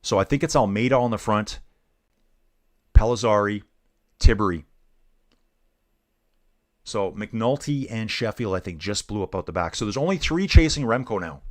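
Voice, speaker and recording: A middle-aged man casually commenting on a bike race live and chatting with viewers, laid-back and saying "dude" a lot. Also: lowish voice. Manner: laid-back, says "dude" often, reads chat aloud.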